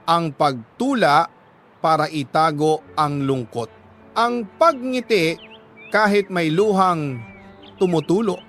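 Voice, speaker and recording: A man with a Filipino accent reading a listener's letter as a radio drama host, in a sorrowful, deliberate storytelling manner with dramatic pauses. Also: on the high side, a bit bright.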